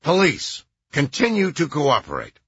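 A male narrator gives voice to a blunt police detective in an audiobook. He speaks with brisk authority in a forceful, husky male voice. The sound is close and dry. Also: fairly high pitch, wildly swooping pitch, dry recording, dull audio, heavily acted. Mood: commanding. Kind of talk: audiobook character voice.

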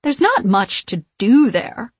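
A haughty, scheming old witch who croons and needles, stretching her words out slowly and theatrically, as a woman reader puts her on. Her voice rises higher and louder than the narration, with a husky, breathy edge. Close and dry, like a storybook audiobook. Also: very animated, dry recording, exaggerated voice. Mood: crooning, needling. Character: vain scheming centuries-old witch woman, commanding and mocking. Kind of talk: audiobook character voice.

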